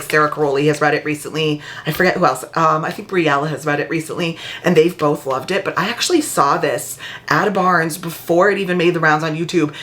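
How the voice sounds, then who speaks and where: A woman book vlogger talking in a casual, heartfelt way, her voice a little raspy and hoarse after being ill. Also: deep voice, fairly bright tone. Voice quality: slightly raspy. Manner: chatty and heartfelt. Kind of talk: casual vlog chat. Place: upstairs room at home.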